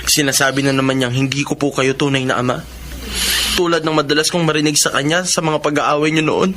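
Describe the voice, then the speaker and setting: A man's voice: on the high side, steady tone, fairly quick, fairly bright tone, a little hiss or hum, lightly acted. A young man acting a hurt, resentful son in a Filipino radio drama. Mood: resigned. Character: hurt, resentful blind son confronting his mother.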